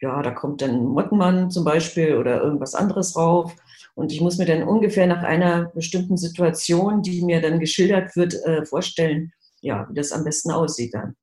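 A middle-aged woman, an illustrator, answering interview questions over a video call in a relaxed, matter-of-fact way. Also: low voice, moderate pace. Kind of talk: casual interview. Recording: echoey video call audio.

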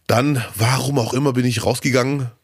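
Man with a scratchy cold-roughened voice. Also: medium pitch, fairly even intonation, a little fast, no room sound, clean studio sound. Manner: chatty, storytelling, rambling with fillers. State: slightly hoarse, scratchy voice from a cold. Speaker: podcast co-host. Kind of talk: casual podcast chat.

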